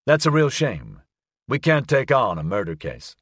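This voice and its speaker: Male narrator as private detective, brisk, placating, wry, animated intonation, dry close sound, audiobook. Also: mid-range voice, slightly rough, no room sound, exaggerated voice. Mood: matter-of-fact. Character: smooth-talking, practical male private detective. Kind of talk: audiobook character acting.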